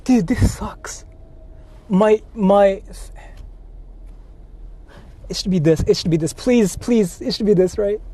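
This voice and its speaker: A man's voice: sits high, takes it slowly, pauses often, dark tone. A man from Japan, chatting casually on a livestream in blunt, repetitive slang with some swearing. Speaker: livestreamer, channel host. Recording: outdoor street noise.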